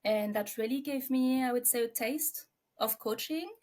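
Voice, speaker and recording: A woman's voice: a little high, normal speed, a little mellow. A woman in her forties from France, with a French accent, talking reflectively about herself as an interview guest. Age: 40s.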